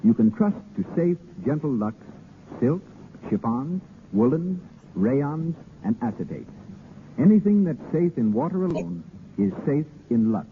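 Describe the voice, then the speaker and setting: Lively 1930s radio announcer man, tinny sound. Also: fairly high pitch, a little slow, slight background noise. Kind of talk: 1930s radio commercial narration.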